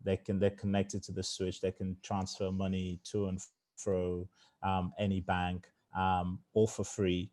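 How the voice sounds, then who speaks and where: Low flat-voiced British founder talking casually. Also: male voice, a little slow. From England. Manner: conversational, lots of fillers, low flat voice. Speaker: startup founder and CEO. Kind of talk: fireside chat, casual.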